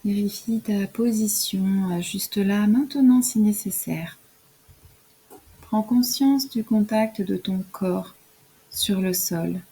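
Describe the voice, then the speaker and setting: A woman leading a scripted guided relaxation in a soft, soothing, instructional way, as a yoga nidra guide. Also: medium pitch, takes it slowly, husky, low-quality recording.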